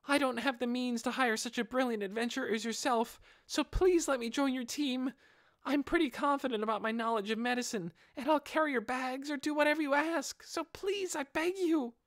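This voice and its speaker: Earnest, high-pitched pleading boy. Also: fairly quick, fairly bright tone, no room sound, clean studio sound. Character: earnest, pleading teenage boy apprentice apothecary.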